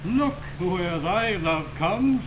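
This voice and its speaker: A man playing a proud, combative young rival lover with measured, declamatory 1920s radio Shakespeare delivery. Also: high voice, animated, full character voice. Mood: firm, haughty. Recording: noisy 1929 radio broadcast on optical film.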